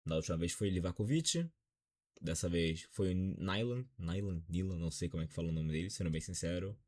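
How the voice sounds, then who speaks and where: A man's voice: deep voice, fairly quick, a little mellow. A Brazilian man in his twenties with a Brazilian accent, giving a dejected, frank football opinion vlog. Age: 20s. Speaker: football commentator.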